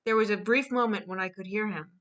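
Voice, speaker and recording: A woman's voice: medium pitch, fast talker, fairly bright tone, lo-fi sound. A woman chatting casually and tentatively in a group stream. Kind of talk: casual chat.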